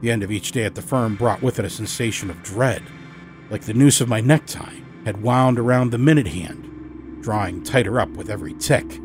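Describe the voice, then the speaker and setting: A man narrating a first-person horror story as a storyteller, dramatic and expressive in his emphasis. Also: lowish voice, normal speed, over music. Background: musical score.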